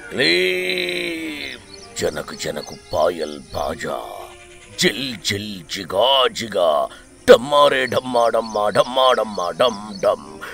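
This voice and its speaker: A man's voice: medium pitch, gravelly, sharp tone, heavily acted. A man playing a pompous ghost-hunting sorcerer in a cartoon moral tale, theatrical and incantatory.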